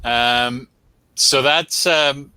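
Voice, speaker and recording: A man's voice: mid-range voice, fairly even intonation, very slow, bright, forceful tone, clear, resonant tone, no echo, poor audio. A man hosting a comic book review show in casual livestream chat, rambling along in a self-deprecating way.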